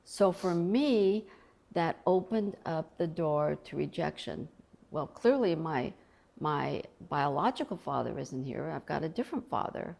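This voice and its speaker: A woman's voice: low-pitched, expressive, measured pace, gravelly, darkish tone. A middle-aged woman, an author from Colombia, talking in an earnest, reflective way about faith in an interview.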